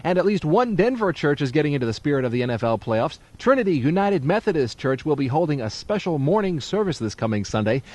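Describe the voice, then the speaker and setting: A man's voice: a little high, very animated, quick pace, hardly any pauses, a little mellow. A man working as a radio DJ in a studio, giving playful, folksy announcer patter for a 1980s music show. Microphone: studio broadcast microphone.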